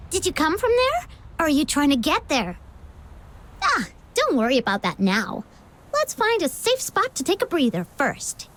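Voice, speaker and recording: Game role: cheeky, chatty girl sidekick, extremely high and lively in pitch. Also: moderate pace, exaggerated voice. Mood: chatty, helpful. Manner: cheeky, expressive, talkative. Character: brash, chatty, teasing young girl companion. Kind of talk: animated video game voice acting.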